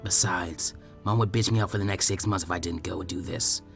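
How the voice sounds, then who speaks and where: Man voicing a low, bored teenage ninja. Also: steady tone, brisk, vocal fry, fairly bright tone, soft background music. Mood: bored, reluctant. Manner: bored, muttering. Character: lazy, reluctant, sardonic teenage ninja boy. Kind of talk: acted dialogue in fan fiction audiobook.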